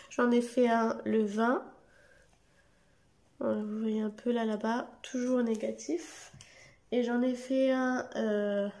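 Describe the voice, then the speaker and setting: A young woman vlogging casually to camera at home late at night, hoarse from a cold, rambling with lots of hesitations and restarts. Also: a little high, dark tone. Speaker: family vlogger. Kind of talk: casual direct-to-camera vlog. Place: home at night under artificial light.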